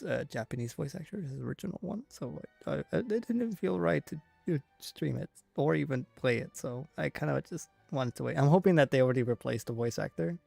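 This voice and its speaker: A man giving casual live commentary on a video game, rambling and halting as he thinks aloud. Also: medium pitch, vocal fry, darkish tone, dry recording. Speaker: game streamer.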